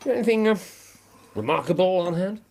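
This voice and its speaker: An actor with an English accent playing a wry, incisive Victorian gentleman detective in a radio drama. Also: high voice, expressive, a little fast, vocal fry, exaggerated voice. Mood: curious, probing.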